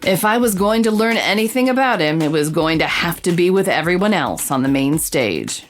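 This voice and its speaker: Audio drama narrator: inquisitive woman reporter, acted by a woman, lower-middle pitch, polished scene-setting delivery. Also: lively intonation, moderate pace, faint music behind, lightly acted. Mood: engaged, wry. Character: female roving reporter, curious, vivid storyteller. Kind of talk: scripted narration, news-report storytelling.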